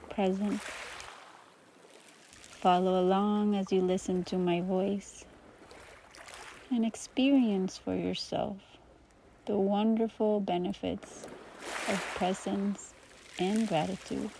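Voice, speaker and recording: Slow, soothing woman guiding meditation. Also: medium pitch, steady tone, creaky, a little hiss or hum. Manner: slow, soothing, gentle pauses between phrases. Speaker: meditation teacher. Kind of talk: guided meditation.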